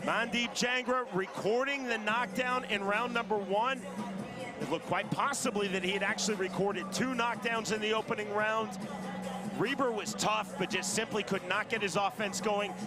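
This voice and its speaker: A man's voice: high-pitched, fairly even intonation, normal speed, over loud music. A man doing play-by-play commentary on a fight broadcast, with a polished, formal broadcaster delivery.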